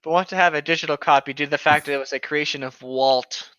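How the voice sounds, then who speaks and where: A man co-hosting casual comedic read-alouds of internet horror stories, sarcastic, irreverent and quick to swear. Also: fairly high pitch, steady tone, fairly bright tone, smooth, no echo, muffled recording. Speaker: co-host.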